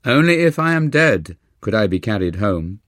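A man's voice: fairly low pitch, sing-song, normal speed, dry recording, studio quality. A man voicing an earnest young schoolboy disciple, respectful and imploring, in an audiobook. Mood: vehement.

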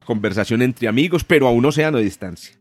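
A Colombian man with a Paisa accent, hosting a relaxed podcast talk on astronomy, lively with many restarts and fillers. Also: mid-range voice, quick pace, no echo, soft background music. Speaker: podcast host and astronomy professor. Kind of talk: casual conversational podcast.

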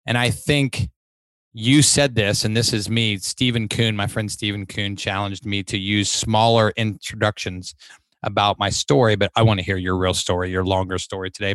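A man's voice: on the low side, a bit bright, no room sound, rough recording. A man hosting a podcast interview, talkative and enthusiastic, rambling a little as he sets up questions. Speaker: podcast host. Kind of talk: casual interview chat.

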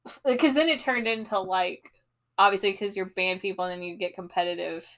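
A young woman telling a friend about a past night out. She rambles, stops to restart, fills gaps with fillers and laughs easily. The pitch sits in a middle range. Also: fairly quick, fairly bright tone. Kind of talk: casual chat.

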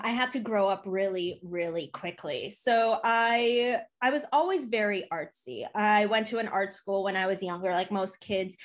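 A woman in her thirties with an American accent and a fairly high voice. She talks as a podcast guest, sharing personal stories in casual, informal language, with plenty of "like" along the way. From United States, born in Poland, grew up in Chicago. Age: 30s. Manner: chatty, informal, frequent "like".

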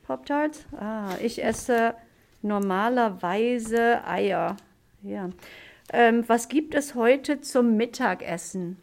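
A woman from Germany, a German teacher hosting a classroom podcast, asking simple questions slowly with many fillers. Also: on the high side.

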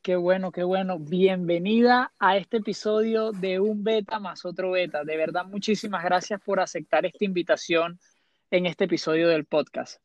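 A man's voice: high-pitched, steady tone, moderate pace, no echo, muffled recording. A man hosting a podcast who introduces his guest and interviews her in an upbeat way, repeating phrases and dropping English fillers. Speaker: podcast host.

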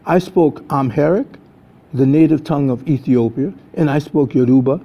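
Higher-pitched older man narrating a dramatic story. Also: moderate pace. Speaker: storyteller reading their own book. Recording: dull, lo-fi sound.

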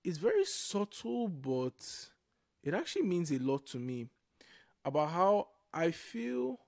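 A man's voice: sits high, wildly swooping pitch, takes it slowly, creaky, darkish tone, dry recording. Young Nigerian man, dry close sound. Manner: reflective, repeats and restarts words. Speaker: podcast co-host. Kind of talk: casual faith-based podcast chat.

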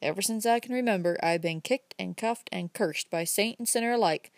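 A bitter young drifter, as a woman reads him, answering curtly and keeping his guard up. The voice stays low-key in a dry, close storybook recording. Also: brisk, no echo, close to an everyday voice. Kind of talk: audiobook character reading.

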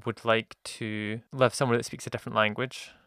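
A man from the UK speaking as a guest in a casual conversation, measured and thoughtful. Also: medium pitch, level tone, fairly bright tone, no echo, clean studio sound. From United Kingdom.

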